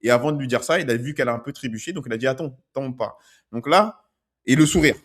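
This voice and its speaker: A man with an ordinary mid-range voice breaks down street-approach footage like a dating coach. He keeps a conversational tone, often checking in with his viewers as he explains. His voice sits in a middle pitch, neither high nor low. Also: fast talker, a bit bright. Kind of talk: commenting on street-approach video clips.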